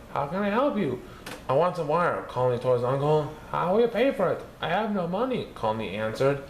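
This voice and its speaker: A man reading a children's picture book aloud, lifting his voice high for the characters' lines. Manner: lively, raising his pitch for characters' lines. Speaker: storybook reader. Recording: echoey room with faint background noise.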